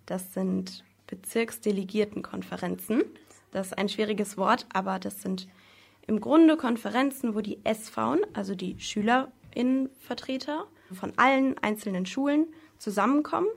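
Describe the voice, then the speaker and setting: A woman's voice: medium pitch, moderate pace, gravelly, slightly rough. A teenage girl answering interview questions as a student representative, explaining things earnestly in simple language. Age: teens.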